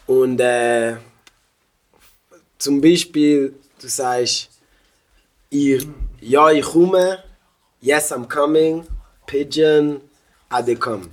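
Relaxed young Swiss German rapper. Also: male voice, mid-range voice, very slow, pauses often. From Switzerland. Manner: relaxed, mixes English phrases into German. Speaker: rapper guest. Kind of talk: casual interview chat.

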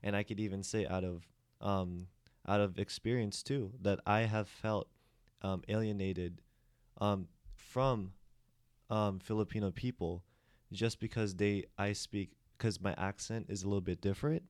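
A man's voice: on the low side, steady tone, a little slow, a little mellow, smooth, no room sound, clean studio sound. A man who grew up in the States talking loosely in a group discussion, full of fillers and restarts. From United States. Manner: rambling with many restarts and fillers. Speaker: discussion participant.

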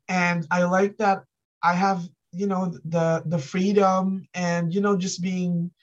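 Filipino man, podcast co-host, relaxed chat with lots of "you know", slightly dull lo-fi sound. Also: high voice, slow pace. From Philippines. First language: Ilocano. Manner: many fillers, relaxed and reflective. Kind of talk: casual chat.

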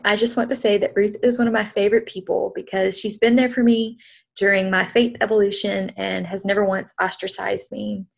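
A woman's voice: mid-range voice, gravelly. A woman hosting an interview podcast, speaking earnestly and reading definitions aloud with care. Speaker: podcast host.

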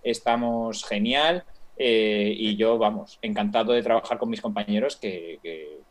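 A man's voice: on the low side, unhurried. Young Spanish man, hesitant and friendly. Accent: Peninsular Spanish. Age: young adult. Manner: hesitant, many fillers and restarts, friendly. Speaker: guest YouTuber. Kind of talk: casual live-stream chat.

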